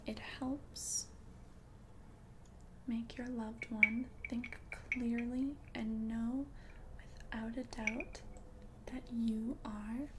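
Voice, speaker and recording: A woman voicing an ancient, confident witch in a whispered ASMR roleplay, speaking in a soft, breathy, deliberate and soothing way. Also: fairly high pitch, background noise. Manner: slow, deliberate, soothing, repeats words for emphasis. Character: ancient, confident witch brewing love potions.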